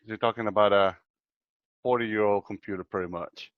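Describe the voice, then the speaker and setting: Soft-spoken man with a low, dull sound. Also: steady tone, moderate pace, no echo. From Chile. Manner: soft-spoken, hesitant, many fillers. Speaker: guest computer scientist. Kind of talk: casual interview talk.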